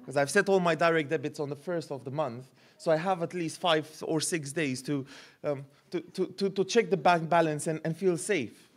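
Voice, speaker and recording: A man in his thirties preaching a sermon at a podium mic in a church hall, animated and gesturing, often repeating words as he builds a point. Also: a little high, moderate pace. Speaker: pastor. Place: church hall with curtained stage.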